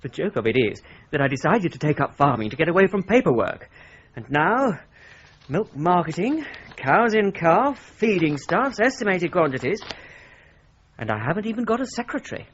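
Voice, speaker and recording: Lively, husky, wry British gentleman. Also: high voice, moderate pace, highs cut off, full character voice. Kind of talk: 1980s British radio drama acting.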